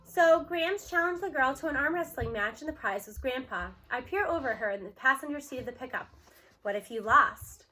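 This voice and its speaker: Female children's audiobook reader: high pitch, bright tone, expressive first-person narration. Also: fairly quick, few pauses, low-quality recording. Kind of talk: expressive read-aloud of a children's novel in a student's first-person voice.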